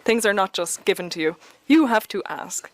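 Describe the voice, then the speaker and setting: A woman's voice: mid-range voice, expressive, normal speed. A woman with an Irish accent, answering interview questions in a direct, confident way. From Ireland. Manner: direct, confident, advice-giving. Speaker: guest.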